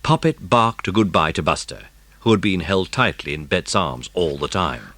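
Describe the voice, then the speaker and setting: Low-pitched man narrating an audiobook. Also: lively intonation, moderate pace, fairly bright tone, no echo. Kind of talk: scripted narration of a children's story.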